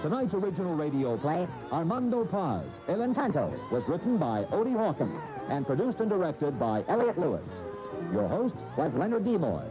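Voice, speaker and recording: Lively American male radio announcer, dull tape. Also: a little high, moderate pace. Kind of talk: scripted 1980 radio credits announcement. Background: music.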